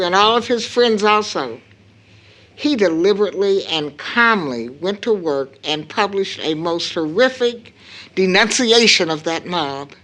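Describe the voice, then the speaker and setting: Middle-aged mother for audio drama: grave, anguished, very unhurried, with wide emotional swings in pitch. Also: fairly bright tone. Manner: solemn, deliberate, emphatic. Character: grave, anguished mother baring a long-kept family secret. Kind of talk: stage audio drama acting.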